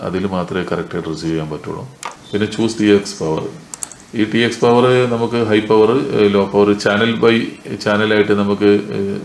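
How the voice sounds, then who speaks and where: Deep-voiced Malayali man explaining in echoey room. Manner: instructional, mixes in English technical terms. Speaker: tech tutorial presenter. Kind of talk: unscripted explanatory tutorial. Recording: echoey room with faint noise.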